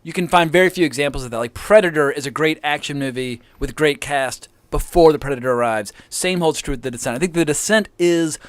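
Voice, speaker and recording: Enthusiastic American man, fairly high-pitched voice. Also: brisk, few pauses, no echo. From United States, near the Appalachian Mountains. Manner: enthusiastic, articulate, emphatic. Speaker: guest co-host, film podcaster. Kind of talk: casual film discussion.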